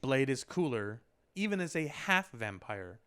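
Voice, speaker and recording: A man's voice: medium pitch, big pitch swings, unhurried, sharp tone, clear, resonant tone. A man with a mid-range voice arguing his view in casual podcast talk. He is emphatic and opinionated, often repeating a phrase to drive it home. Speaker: podcast co-host. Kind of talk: casual conversational debate.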